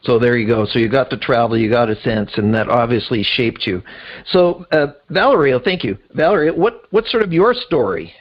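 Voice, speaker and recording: A man's voice: mid-range voice, animated, a little fast, highs cut off. A man hosting a conversational interview show, putting questions to his guests. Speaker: podcast host.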